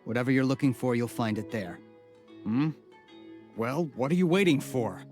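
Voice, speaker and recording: A man's voice: medium pitch, lively intonation, normal speed, a little mellow, faint music behind, natural voice. An actor playing an arrogant, menacing gang henchman in a video game, sneering and boastful. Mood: suspicious, smug.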